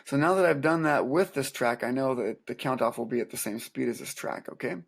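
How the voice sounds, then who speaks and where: A man with a soft, gravelly voice that has a husky edge. He explains a music software setup calmly, one step at a time, like a teacher walking students through it. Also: mid-range voice, fairly quick. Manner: calm step-by-step explaining, counting aloud. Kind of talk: screen-recorded software tutorial.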